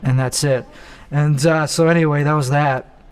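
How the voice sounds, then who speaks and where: A man's voice: a little high, steady tone, normal speed, smooth. A young man hosting a casual solo show, talking bluntly and rambling with self-mocking asides. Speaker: podcast host.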